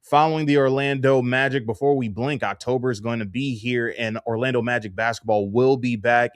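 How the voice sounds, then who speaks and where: A man's voice: fairly low pitch, clean tone, no room sound, clean studio sound. A man hosting a sports radio show, speaking in an upbeat interview style.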